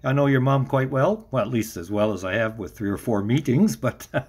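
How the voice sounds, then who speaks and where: A man's voice: medium pitch, brisk, a little mellow. A middle-aged man hosting a podcast interview in a casual, conversational style with a wry, joking manner.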